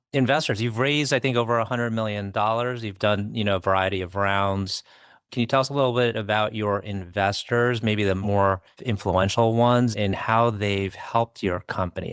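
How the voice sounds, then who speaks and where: A man hosting a business podcast, asking relaxed conversational questions and nudging the guest along. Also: on the low side, normal speed, no echo. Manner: relaxed, prompting with frequent backchannels. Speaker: podcast host, interviewer.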